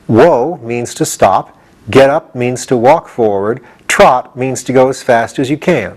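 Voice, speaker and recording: A man voicing a practical, bossy young boy in an audiobook, brisk and instructing in manner. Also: medium pitch, natural voice. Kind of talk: audiobook character voice.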